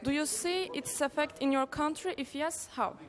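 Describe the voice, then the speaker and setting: A woman's voice: high-pitched, normal speed. A young woman interviewing guests with polite, formal questions in slightly non-native phrasing. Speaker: student interviewer.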